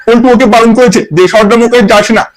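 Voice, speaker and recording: A man's voice: very high-pitched, steady tone, rapid-fire, rough recording. A man playing a crude, gossipy cartoon village man who talks casually in slang. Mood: brisk, casual. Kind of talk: comic cartoon dubbing.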